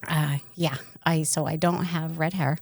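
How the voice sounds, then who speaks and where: Give this a woman with a distinctly low voice, recorded close and dry. She co-hosts a podcast and tells personal stories in a frank, irreverent, joking way, in loose conversational speech. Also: fairly even intonation, measured pace, a little mellow, no echo. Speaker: podcast co-host. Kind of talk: candid casual chat, personal storytelling.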